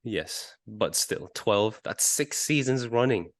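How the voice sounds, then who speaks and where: A man co-hosting a casual football podcast, reasoning in a measured, halting way. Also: on the low side, expressive, no echo. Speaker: podcast co-host.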